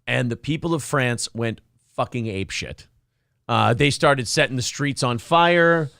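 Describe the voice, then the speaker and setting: A man's voice: mid-range voice, unhurried, creaky, a bit bright, smooth, no echo, studio quality. A man co-hosting a morning show with casual, profane comedic banter about the news. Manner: profane, joking.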